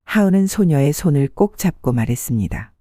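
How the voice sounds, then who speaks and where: Calm older woman narrating a story. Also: deep voice, brisk, no room sound, clean studio sound. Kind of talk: scripted audiobook narration.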